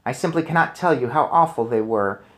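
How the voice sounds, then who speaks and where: A man narrates a children's story aloud in a mid-range voice. He phrases things deliberately and dramatically, as an expressive storyteller would. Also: mid-range voice. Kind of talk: expressive children's book narration with casual asides.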